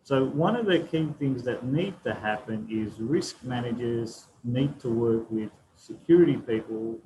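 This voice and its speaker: Man, webinar panelist, methodical explainer, mid pitch, faint background noise. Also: mellow, low-quality recording. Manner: explanatory, frequent tag "right?".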